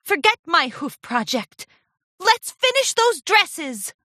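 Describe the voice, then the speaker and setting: A woman's voice: high voice, huge pitch swings, slow pace, sharp tone, no room sound, clean studio sound, voice pushed up, heavily acted. A woman voicing an eager, devoted young female apprentice pony in a children's story, with an earnest manner. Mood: determined, eager.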